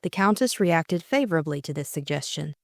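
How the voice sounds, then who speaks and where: Woman, lowish pitch, scripted story narration, dry close studio-like sound. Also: lively intonation, fairly quick, no echo. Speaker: audiobook narrator.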